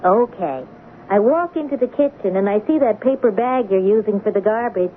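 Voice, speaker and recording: A woman playing a wry, sharp-witted teenage daughter in a radio drama, with a wisecracking, dramatic delivery. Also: mid-range voice, expressive, normal speed, lightly acted. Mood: sharp, teasing. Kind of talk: radio drama acting with first-person narration. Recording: tinny, noisy old radio broadcast.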